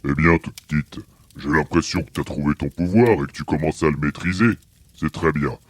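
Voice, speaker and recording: A man playing a calm, fatherly monster dad with a deep, husky voice and measured, gently authoritative delivery in a children's audio story. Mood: calm, approving.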